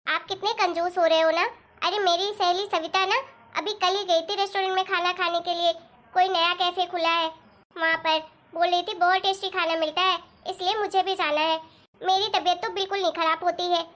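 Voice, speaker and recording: A woman's voice: extremely high pitch, flat delivery, fairly quick, a bit bright. A woman voicing a demanding, spendthrift young wife in a cartoon moral story, chatty and pleading as she nags. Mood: whiny nagging.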